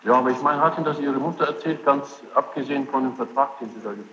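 A man's voice: mid-range voice, quick pace. A man putting precise, persistent questions to a witness in court. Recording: noisy muffled archival tape, echoey room.

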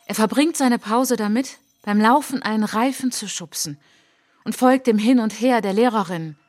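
An actress playing a reflective French woman traveller in a radio play monologue, speaking in a measured, intimate, literary way. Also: on the high side, darkish tone, natural voice. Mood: lonely, hurt.

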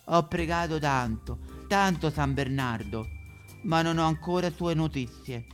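A man's voice: on the high side, measured pace, faint music behind, lightly acted. A man voicing a bitter, fearful mongrel dog in a satirical stage monologue, grumbling in colloquial Roman-accented Italian. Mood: pleading, mournful. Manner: grumbling, colloquial, exaggerated complaining.

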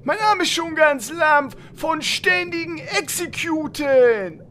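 A woman acts out a feisty old warrior in a comedic fantasy radio play. The voice is high and takes its time, leaning hard on words. There is faint noise behind it. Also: expressive, slow pace. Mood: grumpy. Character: feisty old tank warrior devoted to pet goat. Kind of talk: comedic fantasy audio drama acting.

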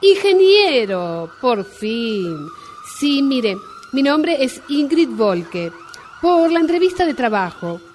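A woman's voice: high-pitched, very animated, slow, a little mellow, full character voice. A woman acting a polite, flustered job applicant, hesitant and apologetic on the phone, in a radio drama. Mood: flustered, pleading. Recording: amateur workshop recording, sirens and traffic noise.

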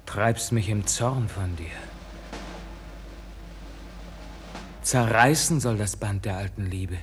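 Fervent, anguished young nobleman officer. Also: fairly low pitch. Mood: pleading. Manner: declamatory, fervent, drawn-out delivery. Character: passionate, anguished young nobleman officer torn between loyalties. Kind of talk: 1950s German radio verse drama acting. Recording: 1950s radio production with music.

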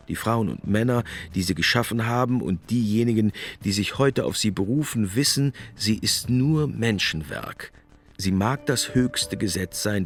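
A man delivers reflective documentary narration in a fairly low voice. He explains in a rhetorical manner, with quiet music underneath.

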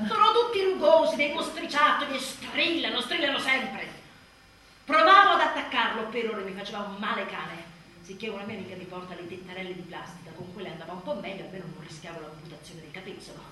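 A woman's voice: medium pitch, animated, fast, sharp tone. An actress playing an exhausted, sardonic new mother in a theatrical radio monologue, emphatic and full of sarcasm. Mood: indignant. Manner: emphatic, sarcastic, mimicking others mockingly. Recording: echoey room, distant mic, faint noise.

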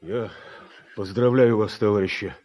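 An actor playing an earnest Soviet party secretary in a radio drama, speaking in a measured, official yet sincere way. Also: male voice, fairly low pitch, darkish tone, natural voice. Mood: earnest, grave. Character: earnest Soviet party secretary rallying exhausted farm workers.

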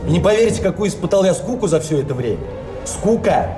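An actor playing an eager, restless card sharp in a theatrical audio play, speaking in an animated, pleading way. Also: male voice, sits high, fast talker. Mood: excited longing. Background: music.

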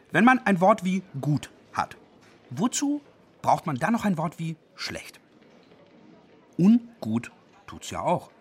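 An actor in a radio play as a zealous, pedantic dictionary compiler who speaks with gloating enthusiasm. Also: male voice, a little high, husky, exaggerated voice. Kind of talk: radio play dialogue acting. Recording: faint background noise.

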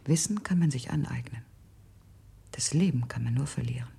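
An embittered enslaved woman plots against her masters in a science fiction radio play. Her voice is extremely husky and breathy, dark and creaky. She declaims in a measured, conspiratorial half-whisper. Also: a bass voice, full character voice. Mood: coldly resolute. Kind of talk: 1970s German radio drama acting.

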